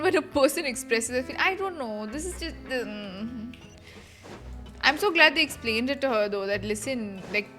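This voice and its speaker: An emotive female streamer with a high, bright voice. She comments casually and unhurriedly on a game, with faint music behind her. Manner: emotive, exclaiming. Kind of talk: casual live reaction commentary.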